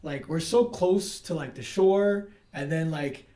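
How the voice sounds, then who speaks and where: A man's voice: a little high, unhurried, mellow. A man hosting a casual, slangy conversational interview. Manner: slangy, peppered with "man", "dude" and "like". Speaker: podcast host. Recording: roomy, echoing sound.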